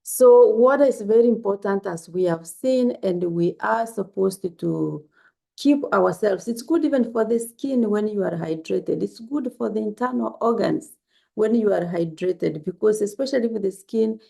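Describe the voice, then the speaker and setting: Gravelly-voiced woman giving advice. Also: mid-range voice, moderate pace. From Kenya. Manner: earnest, repetitive, gently instructive. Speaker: health vlogger. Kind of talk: casual spoken health advice to camera.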